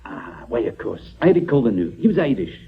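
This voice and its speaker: An actor playing a cagey, grasping Scottish junk dealer who feigns forgetfulness in a 1950s radio drama. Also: male voice, mid-range voice, big pitch swings, a little fast, slightly rough, full character voice. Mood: mock-sincere. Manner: hedging, wily, mock-innocent. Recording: muffled old radio recording.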